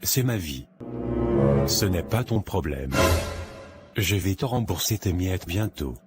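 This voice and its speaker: Deep-voiced, vain broke young man acted by a man. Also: expressive, slow pace, over loud music. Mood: irritated. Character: vain, broke young office man living beyond his means. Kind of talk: animated moral tale dialogue.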